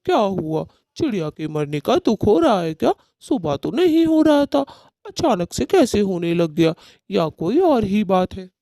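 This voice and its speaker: A melodramatic male cartoon bird acted by a man with a soft-edged, mellow tone and very animated intonation. He sounds like an aggrieved husband, reproachful and scornful, recorded close and dry. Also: sits high, unhurried, no room sound, full character voice. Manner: dramatic, reproachful. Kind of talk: Hindi cartoon story dialogue.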